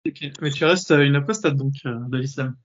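Hesitant podcast host, muffled audio. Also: male voice, mid-range voice, fairly quick. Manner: hesitant, many fillers and restarts. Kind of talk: casual interview.

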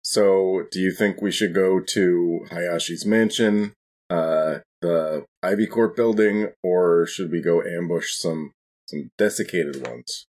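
Guy w real low husky voice, chatting casually as a tabletop gamer. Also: steady tone, slow pace, a little mellow. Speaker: player on a tabletop game podcast. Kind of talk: casual in-game chat.

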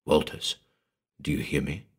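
A man voicing a softly menacing, vengeful killer in a gentle, low, husky hush for a dramatic story. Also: sing-song, full character voice. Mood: hushed menace. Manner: slow, gentle, taunting. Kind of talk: dramatic audiobook character reading.

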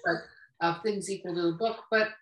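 A woman's voice: mid-range voice, fairly even intonation, normal speed. A woman hosting a podcast in casual chat, blunt and opinionated, swearing freely. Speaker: podcast host. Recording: roomy echo, distant from mic.

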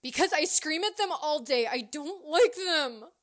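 A woman voicing a mean, loud schoolteacher who hates children, screaming and sneering in a children's story. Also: high-pitched, animated, unhurried, pitched above the performer's own voice. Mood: hateful screaming.

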